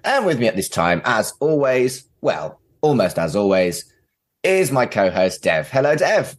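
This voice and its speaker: A man's voice: mid-range voice, very animated, unhurried. A man hosting a podcast in a casual, chatty style, with wry, self-deprecating asides. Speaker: podcast host.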